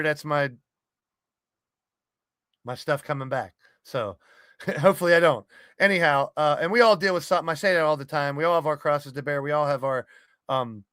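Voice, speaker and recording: Man, gaming podcaster. Forceful, slightly high voice, blunt and loose casual delivery, dry recording. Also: smooth, dry recording. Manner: blunt, sweary, rambling. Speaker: podcast host and wrestling game streamer. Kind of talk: casual monologue to an online community.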